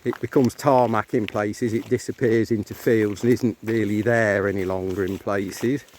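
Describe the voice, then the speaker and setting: A man's voice: fairly even intonation, moderate pace, a little mellow. Middle-aged English man, noisy handheld recording. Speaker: walking vlog host and local guide. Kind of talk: casual rambling commentary. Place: moving car, later open farmland track. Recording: noisy handheld recording, car and outdoor noise. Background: car rumble, outdoor ambience.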